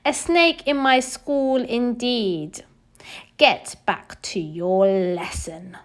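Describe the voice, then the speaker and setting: A woman's voice: a little high, steady tone, drawn-out words. A woman voicing a nasty, disdainful headmistress in a children's storybook, snapping and bellowing her lines. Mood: smug, stern. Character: stern, nasty headmistress who hates children and animals. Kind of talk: storybook character voice.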